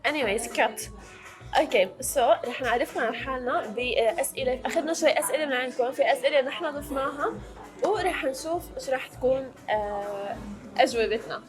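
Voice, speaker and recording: A woman's voice: sits high, fairly quick, music in the background. A woman in her early twenties with a Levantine Arabic accent, chatting casually to camera as a vlog host and slipping in English words. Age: early 20s.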